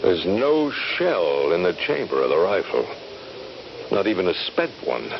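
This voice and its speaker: An actor playing a grave army captain in a radio drama, measured, solemn and authoritative. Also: male voice, low-pitched, wildly swooping pitch, gravelly, heavily acted. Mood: grave, puzzled. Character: grave Union Army captain examining a dead soldier. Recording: dull, lightly noisy 1970s radio recording.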